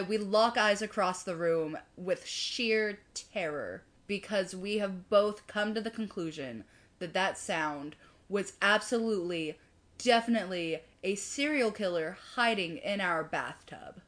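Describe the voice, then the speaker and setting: A woman's voice: medium pitch, expressive, measured pace, a bit bright. A woman co-hosting a video, telling a story in casual chat with wry, self-deprecating humor. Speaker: video co-host.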